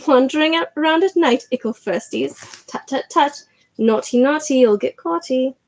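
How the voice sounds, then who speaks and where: A woman's voice: high-pitched, moderate pace, voice pushed up. A woman voicing a mischievous male poltergeist in an annoying sing-song voice. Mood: taunting.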